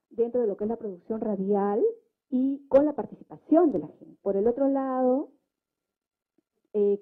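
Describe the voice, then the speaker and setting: Female panel speaker, slightly high pitch, phone-like sound, laying out points step by step with hesitations. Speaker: debate participant. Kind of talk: public debate remarks, explanatory. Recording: muffled narrow phone-like sound.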